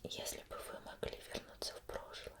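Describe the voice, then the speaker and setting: ASMR roleplay, woman as female investigator: all in whisper, soft-spoken, formal, patient, measured questioning. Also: lightly acted. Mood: probing, serious.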